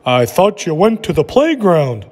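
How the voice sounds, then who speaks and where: A man reading a storybook aloud, playing a calm father who gently questions and encourages his young son. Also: high-pitched, a little slow, slightly rough, heavily acted. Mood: encouraging. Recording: echoey room with long reverb.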